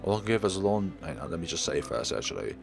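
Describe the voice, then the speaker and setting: A man's voice: deep voice, sing-song, very fast, vocal fry. A man reading a visual novel aloud in a dramatic storytelling manner. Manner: dramatic read-aloud narration. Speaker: let's player. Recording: music playing behind the voice. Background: game music.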